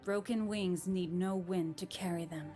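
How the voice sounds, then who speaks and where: An actress playing a guilt-haunted young warrior woman in a video game, weary yet defiant, with restrained, measured delivery. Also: lowish voice, steady tone, dark tone, close to an everyday voice. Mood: weary, regretful. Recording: background music under game dialogue.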